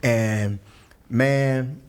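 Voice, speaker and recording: A man's voice: extremely slow, smooth. A middle-aged man from Louisville, a musician and guest telling stories in casual conversation. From Louisville, Kentucky. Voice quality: mellowed former high singing voice. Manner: animated storyteller, quoting others with voiced impressions.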